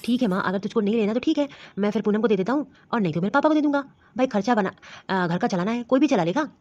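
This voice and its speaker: An earnest, defensive young husband played by a woman in a homemade family skit. He talks quickly in a dark, husky voice, and the recording has a dull, lo-fi sound. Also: medium pitch, animated, natural voice.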